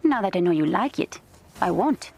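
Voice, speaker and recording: A woman playing a clever, cheeky young princess in a video game, giving instructions in a brisk, wry way. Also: medium pitch, expressive, creaky, a little mellow, husky. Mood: playful, teasing.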